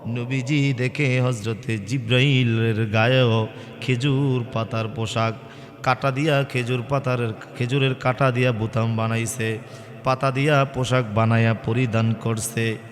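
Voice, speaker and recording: A man delivering an impassioned Islamic sermon, half-chanting the story with drawn-out singsong phrases that swell into loud cries. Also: mid-range voice, fairly even intonation. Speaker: Islamic preacher. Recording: long hall reverb, faint music.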